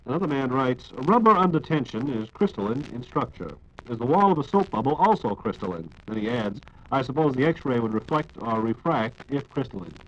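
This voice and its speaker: Male American radio host, 1940s announcer style, formal measured delivery, mid pitch, dull vintage sound. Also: animated. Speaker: radio program host reading listener questions.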